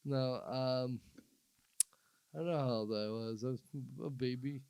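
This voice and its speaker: A man's voice: mid-range voice, a little mellow, clear, resonant tone, no echo. A man from Minnesota hosting a podcast, trading jokes in casual, self-mocking banter. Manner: joking, self-mocking, halting. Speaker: comedian and podcast host. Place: studio.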